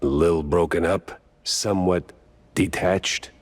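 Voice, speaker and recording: A man's voice: sits low, steady tone, a little slow. A man playing a world-weary, wisecracking mouse private detective in a noir cartoon game, with a dry, sardonic delivery.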